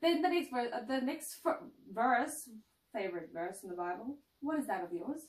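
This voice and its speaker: A woman's voice: on the high side, lively intonation, normal speed. Young woman, 20s, upbeat interviewer; echoey room, mic set back. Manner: bubbly, frequent ums and restarts. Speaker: announcer and interviewer. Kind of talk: casual interview chat. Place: indoor lounge set, seated on couch. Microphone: far camera mic. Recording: roomy echo, distant mic.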